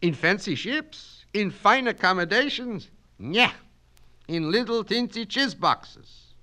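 A man's voice: high voice, lively intonation, slow pace, voice pushed up, exaggerated voice. A man voicing a pompous immigrant night-school student who declaims in broken English. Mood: declaiming. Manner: broken grammar, declaiming and loud. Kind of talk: storybook reading.

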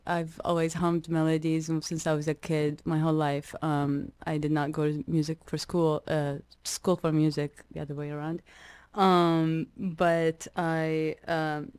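Woman, from Iraq, young adult, low pitch, dry close sound, halting reflective talk. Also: darkish tone, clean tone, no echo. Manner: reflective, halting, many ums and restarts. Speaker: musician guest. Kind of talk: radio interview.

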